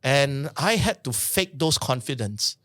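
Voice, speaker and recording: A man, a podcast guest, chatting in a lively way and often laughing between his stories. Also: medium pitch, dry recording. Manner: animated, quick, laughing often, repeats "yeah". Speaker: podcast guest, trainer and speaker. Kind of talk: casual storytelling chat.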